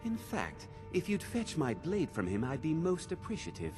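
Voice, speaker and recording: A man's voice: fairly high pitch, huge pitch swings, normal speed. A man playing a haughty, self-important fantasy game swordsman, pompous and dismissively polite. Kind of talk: scripted fantasy game dialogue. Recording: cavernous hall reverb, music behind. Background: game music.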